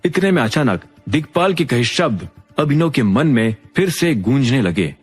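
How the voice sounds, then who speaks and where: A man's voice: medium pitch, very animated, moderate pace, husky, faint music behind. A man narrating a scripted dramatic audio story.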